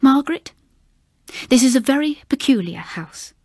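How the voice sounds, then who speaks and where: A woman's voice: sits high, lively intonation, normal speed, a little mellow, no room sound, clean studio sound, pitched above the performer's own voice. A woman voicing a gloomy, uneasy English husband in a ghost story, brooding and hesitant. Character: gloomy, uneasy husband haunted by something unseen.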